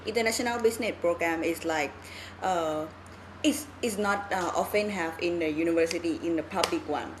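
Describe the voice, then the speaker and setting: A woman's voice: lowish voice, normal speed, slight background noise. A young woman from Thailand, a senior student, chatting casually with short answers and light giggles. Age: young adult. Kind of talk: casual chat.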